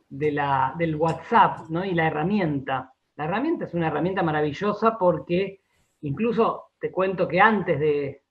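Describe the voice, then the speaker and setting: An Argentine man with a high voice answers as an interview guest. He explains his thoughts in repeated fragments and often ends them with a short tag question. The sound is somewhat dull and lo-fi. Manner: repeats words, checks in with "¿no?" and "¿sí?". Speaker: radio drama director, interview guest.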